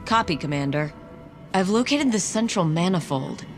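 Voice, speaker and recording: A woman's voice: on the low side, animated, normal speed, natural voice. An actress playing a focused female field operative in a video game, giving businesslike, clipped reports. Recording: loud music over the voice.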